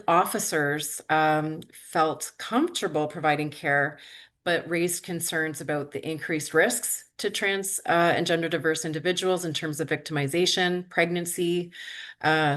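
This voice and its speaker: A woman giving a conference presentation, measured and reading from notes with occasional fillers. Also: sits low, a bit bright.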